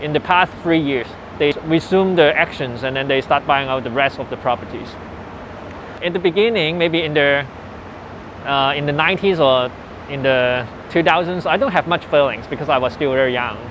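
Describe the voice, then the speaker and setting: A man in his forties speaks in earnest, unhurried English with a Hong Kong accent. His voice sits a little high for a man, and his grammar runs loose as he reminisces. Faint street noise hums in the background. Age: 40s. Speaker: interviewee. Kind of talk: personal reminiscence in interview. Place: old building where his family lived and worked.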